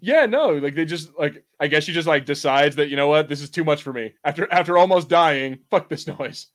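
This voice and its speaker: Male podcast host, quick casual talker, fairly high voice, animated. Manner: fast, animated, swears casually. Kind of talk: casual film-watching podcast chat.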